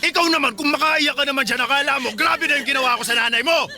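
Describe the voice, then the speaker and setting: A man's voice: extremely high pitch, quick pace, full character voice. A man acting an angry, domineering middle-aged husband and father in a Filipino radio drama, scolding and threatening. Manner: scolding, shouting. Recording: music behind the voice.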